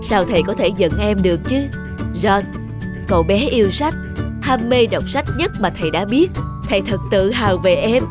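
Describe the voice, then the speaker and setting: A woman voicing a kindly, concerned male schoolteacher in a children's cartoon dub, speaking gently and earnestly. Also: mid-range voice, expressive, moderate pace, over music, close to an everyday voice. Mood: kind, proud.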